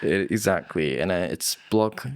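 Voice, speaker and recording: A man with a Japanese accent co-hosting a casual podcast, speaking simple, somewhat halting non-native English. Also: low voice. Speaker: co-host of a bilingual English conversation podcast. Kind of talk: casual chat.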